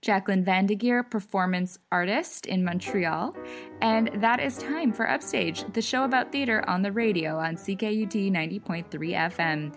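A woman hosting a radio theater show, speaking in a measured, gentle way as she interviews a guest and signs off. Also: medium pitch. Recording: radio studio with music bed. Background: background music.

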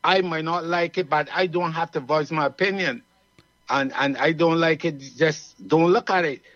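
A man with a Barbadian accent talks earnestly and at length, as if explaining something to a friend on air. His voice sits a little high. The sound is dry and somewhat muffled. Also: normal speed, fairly bright tone, no room sound. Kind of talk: casual radio chat.

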